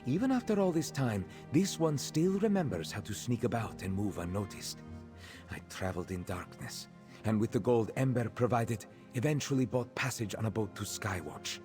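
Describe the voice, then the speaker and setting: A man voicing a gentle cat-folk former captive in a video game, speaking in a measured, formal way and referring to himself in the third person. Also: medium pitch, lively intonation, over music, natural voice. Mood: measured, informative.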